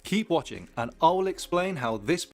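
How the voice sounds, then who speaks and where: A man's voice: a little high, very animated, moderate pace. A man reading scripted explainer narration for an online video. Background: background music.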